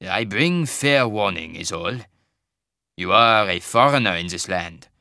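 A man voicing a wide, fearful male wagon master who speaks slowly and gravely in a thick foreign accent. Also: on the low side, lively intonation, dry recording, studio quality, heavily acted. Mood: grave warning. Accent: foreign, thick (put on). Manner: slow, grave, hushed warning.

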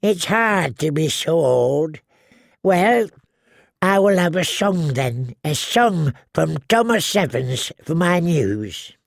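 A man voicing an ancient, tiny dwarf woman seer who speaks slowly and eerily with a croaking, drawn-out voice. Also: fairly high pitch, big pitch swings, no room sound, clean studio sound, pitched above the performer's own voice, full character voice. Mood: wheedling. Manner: slow, wheedling, prophetic. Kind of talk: audiobook character voice.

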